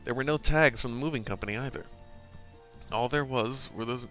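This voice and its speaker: A man's voice: medium pitch, brisk, fairly bright tone, faint music behind. A man doing let's play commentary and reading game text aloud, rambling with asides. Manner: rambling, full of ums and asides.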